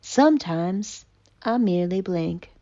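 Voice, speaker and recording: A woman voicing a haughty, melodramatic, traumatized female cat in a pompous, mock-tragic theatrical monologue with deliberate pauses. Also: fairly low pitch, lively intonation, warm tone, highs cut off. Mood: brooding, haunted.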